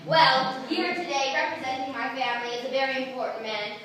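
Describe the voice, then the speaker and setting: A woman playing a lively young colonial Boston wife, teasing and rousing the audience in a live reenactment. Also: sits high, brisk. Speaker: costumed interpreter leading the audience. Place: large echoey meeting hall with pews. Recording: echoey hall from far off, crowd noise.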